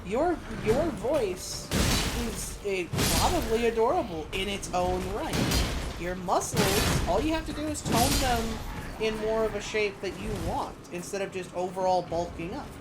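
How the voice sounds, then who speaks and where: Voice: medium pitch, expressive, unhurried. Youthful, frank game streamer over music. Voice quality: youthful-sounding. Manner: rambling, frank, emphatic, swears freely. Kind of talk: casual chat while gaming. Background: video game music.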